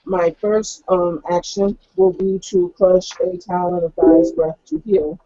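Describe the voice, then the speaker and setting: A woman's voice: lowish voice, unhurried, dark tone, no room sound, highs cut off. A woman playing a tabletop roleplaying game, chatting casually and jokingly. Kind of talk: casual, joking gaming chat.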